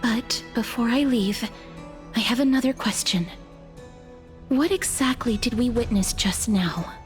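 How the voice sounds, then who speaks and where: An actress playing a gentle but determined young woman who speaks in an earnest, measured way. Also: on the high side, soft background music, close to an everyday voice. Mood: resolute. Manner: soft-spoken, earnest and measured. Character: gentle, resolute young woman on a perilous errand.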